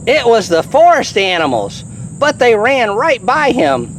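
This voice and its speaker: A storybook reader takes a children's tale slowly, with a very lively, varied pitch. The delivery is animated and chatty, dropping playful asides to the young listener between passages. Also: slight background noise, low-quality recording.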